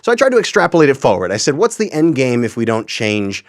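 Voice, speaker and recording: A man's voice: mid-range voice, big pitch swings, fast, dry recording, clean studio sound. A young man explaining his creative project as an interview guest, conversational and articulate with a wry streak.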